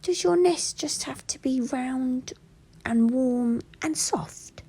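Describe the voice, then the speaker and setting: A woman voicing a small, curious young boy teddy bear in a high, breathy storybook voice over music. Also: takes it slowly, mellow, exaggerated voice. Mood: eager, helpful.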